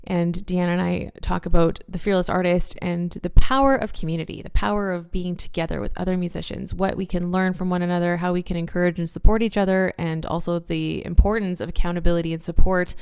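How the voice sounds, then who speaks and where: A woman's voice: lowish voice, steady tone, quick pace, creaky, few pauses, a little mellow, clear, resonant tone. Woman host reading an upbeat podcast intro. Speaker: podcast host and classical musician.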